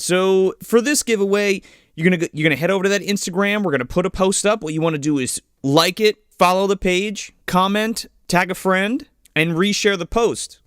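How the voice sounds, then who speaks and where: A man co-hosting a casual podcast, his voice sitting a little high and coming through smooth and clean. The sound is dry and close, and he talks loosely, cursing now and then. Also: fairly bright tone, no room sound. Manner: casual, swears freely. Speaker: podcast co-host.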